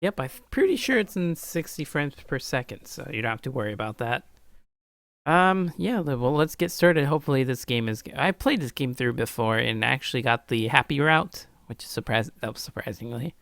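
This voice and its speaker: A man's voice: mid-range voice, animated, moderate pace, a bit bright, dry recording, studio quality. A man in a casual let's play style, reading a visual novel aloud informally and stumbling now and then. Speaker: gaming streamer.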